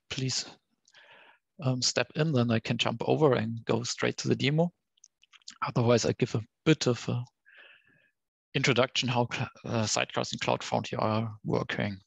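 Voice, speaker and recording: Creaky man presenting, dry sound. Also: medium pitch, steady tone, normal speed, no room sound, rough recording. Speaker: guest presenter, software developer. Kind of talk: explanatory tech presentation. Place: online conference call with screen share.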